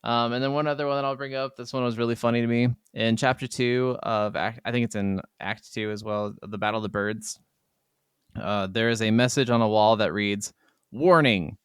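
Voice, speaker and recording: A man's voice: medium pitch, fairly even intonation, moderate pace, clear, resonant tone, no echo, studio quality. A man co-hosting a gaming podcast, talking in a casual, rambling way with plenty of filler "like". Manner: rambling, peppered with "like". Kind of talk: casual chat about video games.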